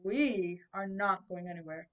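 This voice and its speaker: Girl putting on a loud, curt king's soldier. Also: medium pitch, a little slow, natural voice. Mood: curt, dismissive. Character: gruff, bearded male soldier of the king, curt.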